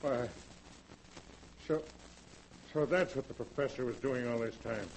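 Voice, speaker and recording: An actor playing a blunt, suspicious country sheriff with a brusque, folksy way of talking, in 1940s radio-drama style. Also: male voice, medium pitch, a little slow, gravelly, a little hiss or hum, rough recording. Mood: gruff. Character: gruff rural male sheriff, blunt and suspicious.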